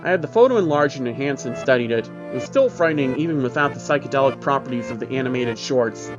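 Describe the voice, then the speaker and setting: A man's voice: mid-range voice, expressive, fast talker, music in the background. A man reading a scripted first-person horror story as its narrator. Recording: dull lo-fi audio, faint background noise.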